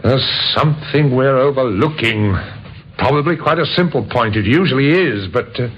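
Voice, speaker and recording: Radio crime drama: wry, hesitant police inspector, voiced by a man, lively pitch, hissy old recording. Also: moderate pace, highs cut off, close to an everyday voice. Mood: pondering. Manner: hesitant, polite, dryly ironic. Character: wry, courteous, thoughtful male police inspector.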